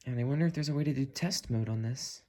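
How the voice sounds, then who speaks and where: A man narrates a hands-on demonstration in a casual, unhurried way, thinking aloud as he tests things. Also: mid-range voice, a little mellow. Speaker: video creator demonstrating a weather radio.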